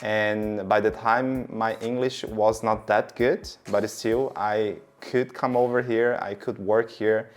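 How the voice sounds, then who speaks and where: A man in his thirties from Brazil, giving long, earnest interview answers with many fillers. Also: lowish voice. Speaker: guest.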